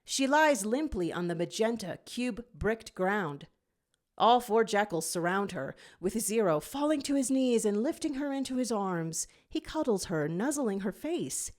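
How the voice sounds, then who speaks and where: A woman narrating a scripted fan fiction story in an expressive reading style. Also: medium pitch, unhurried.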